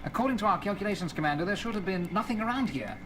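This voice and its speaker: Very high-pitched, eager space crewman. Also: expressive, racing, slight background noise. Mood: brisk, reporting. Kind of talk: audio drama acting for a children's record. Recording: loud music and sound effects behind.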